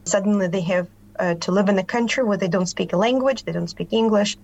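Woman, Ukrainian accent, professor on a panel, measured and earnest, slightly muffled audio. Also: mid-range voice, gravelly, darkish tone, husky. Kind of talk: panel discussion.

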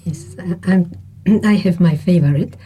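Mellow woman. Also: fairly low pitch, measured pace, slight background noise. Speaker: panel guest, event organizer.

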